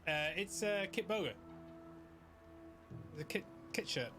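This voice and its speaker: A man's voice: high voice, expressive, slow, pushed and bright. A man from northern UK with a mild British accent, chatting casually and laughing easily while live streaming a game. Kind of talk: live gameplay chat. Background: game music.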